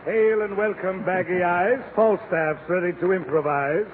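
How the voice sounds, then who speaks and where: A pompous ham of a poet declaims in a high, grandiose voice. It is a 1940s radio comedy turn, on a tinny broadcast with clear background noise. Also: male voice, a little slow. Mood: pompous, jaunty.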